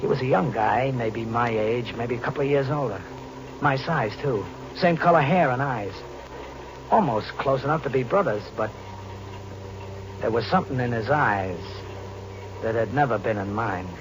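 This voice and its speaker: An actor playing a streetwise, jumpy young American drifter in an old radio thriller, talking in colloquial style. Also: male voice, medium pitch, normal speed, close to an everyday voice. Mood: uneasy. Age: young adult. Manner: colloquial first-person storytelling. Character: streetwise, jumpy twenty-one-year-old male drifter riding freight trains. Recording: dull, noisy old radio recording.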